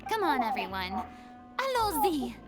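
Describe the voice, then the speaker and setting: An actress playing a theatrical, smug young former deity in a video game, with a grandiose manner and French flourishes. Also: female voice, sits high, huge pitch swings, measured pace, heavily acted. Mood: earnest. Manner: grandiose, drops French phrases. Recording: loud music behind the voice.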